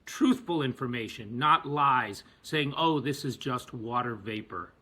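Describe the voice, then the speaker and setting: Forceful, slightly husky man giving a speech. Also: mid-range voice, unhurried. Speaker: physician and activist. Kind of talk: prepared advocacy speech.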